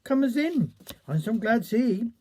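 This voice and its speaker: A woman's voice: on the high side, sing-song, normal speed, background noise. A woman storyteller voicing a gossipy, sly village mother in homely Cornish dialect. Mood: falsely friendly.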